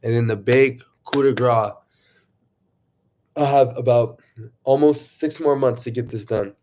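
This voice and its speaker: A man casually chatting to viewers on his livestream, rambling and excitable, sniffling from allergies. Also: medium pitch, measured pace, poor audio. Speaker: YouTuber livestreaming.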